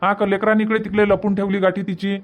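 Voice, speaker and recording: A man's voice: high voice, little pitch movement, quick pace, close to an everyday voice. A man voicing an exasperated village husband in a cartoon comedy, insistent and repetitive, with a Varhadi Marathi accent. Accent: Varhadi Marathi from Vidarbha.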